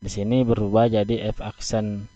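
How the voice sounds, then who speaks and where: A man teaching math, talking through a graph step by step with slow, deliberate pauses. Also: on the low side, little pitch movement, mellow, no room sound. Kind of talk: explaining at a board. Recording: dull, dry lo-fi recording.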